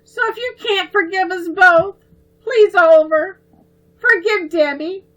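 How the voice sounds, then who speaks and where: A woman's voice: measured pace, fairly bright tone, low-quality recording. Woman voicing a tearful pleading little girl. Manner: high, pleading, near sobbing.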